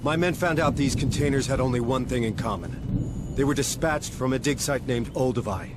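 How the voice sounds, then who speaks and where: An actor playing a gruff, stubborn commander of space marines in a video game, giving clipped, commanding briefings with dry sarcasm. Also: male voice, medium pitch, normal speed, background noise, natural voice. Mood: stern, defiant. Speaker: military commander. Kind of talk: video game cutscene acting and mission briefing.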